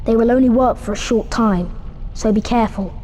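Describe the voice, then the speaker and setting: A woman's voice: on the high side, brisk, over music, lightly acted. A woman voicing solemn, careful instructions in a video game. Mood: instructive. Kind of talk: video game cutscene acting. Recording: long hall reverb, faint noise.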